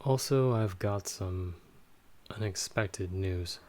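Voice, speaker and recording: Low-voiced, dry vampire hunter played by a man. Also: a little mellow, a little hiss or hum. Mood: serious, briefing. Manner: dry, wry, measured delivery. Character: dry, guarded male vampire monster hunter, protective companion. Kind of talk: ASMR audio roleplay, scripted monologue to listener.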